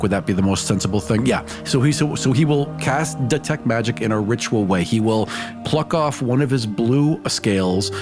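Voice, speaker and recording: A man who is a tabletop game player, improvising narration of his character's actions. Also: fairly low pitch.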